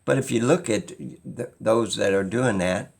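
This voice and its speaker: A man with a fairly low, gravelly voice full of fry speaks at a very unhurried pace. He delivers an unscripted opinion monologue in an insistent, rambling way, often repeating himself to drive a point home. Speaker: video creator.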